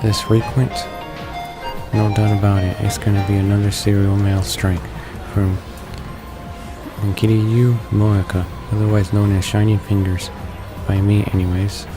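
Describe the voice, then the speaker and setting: A man's voice: lowish voice, level tone, unhurried, music in the background. A man reading visual novel text aloud as a let's play host, stumbling over words as he goes.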